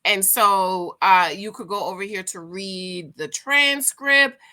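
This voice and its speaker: A woman's voice: mid-range voice, takes it slowly, pushed and bright. A woman in her forties giving sassy, chatty commentary as a vlogger and talking straight to her viewers. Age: 40s. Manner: sassy, chatty, addresses viewers as girl and y'all. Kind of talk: casual gossip commentary.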